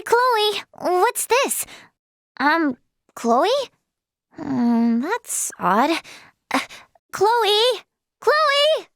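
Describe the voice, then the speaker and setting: A high, youthful heroine's voice for a video game, recorded close and dry. She is a kind-hearted young healer who speaks earnestly and politely, with a soft touch of hesitancy. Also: dry recording. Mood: puzzled calling. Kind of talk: scripted game character dialogue.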